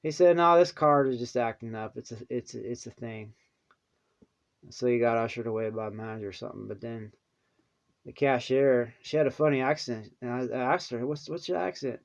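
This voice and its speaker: A man vlogging in a rambling casual monologue, halting with many restarts, his voice dropping to mumbles and then perking up again. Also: medium pitch, vocal fry, muffled recording.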